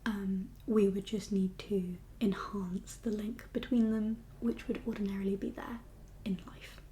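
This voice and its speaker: Softly whispering woman, gentle and breathy. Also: mid-range voice, flat delivery, measured pace, slight background noise. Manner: gentle, reassuring, hesitant consultation patter. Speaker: ASMR performer playing a doctor in a roleplay. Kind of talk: ASMR whispered roleplay.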